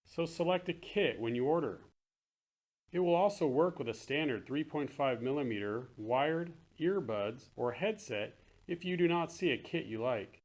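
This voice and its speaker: A man presenting products as a company spokesman in a straightforward promotional video style. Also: mid-range voice. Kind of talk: scripted product narration, later casual demo chat.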